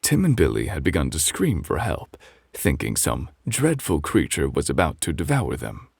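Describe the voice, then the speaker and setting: A man playing a calm, refined gentleman who reads a bedtime story as gentle, measured ASMR close to the microphone. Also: rumbling low, vocal fry, slightly rough, no echo, full character voice. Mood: soothing.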